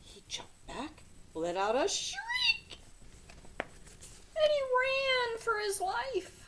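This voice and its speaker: Very animated woman reading a children's story. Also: very high-pitched. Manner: dramatic, deliberate phrasing. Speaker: read-aloud storyteller. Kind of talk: expressive children's picture book read-aloud with asides to young listeners. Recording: steady background noise.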